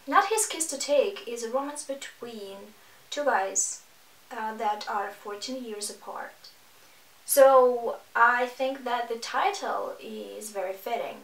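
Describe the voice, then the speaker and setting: A woman's voice: fairly high pitch, takes it slowly. A sarcastic, exasperated woman giving casual reaction commentary as she hosts a book review video. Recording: echoey room, distant mic.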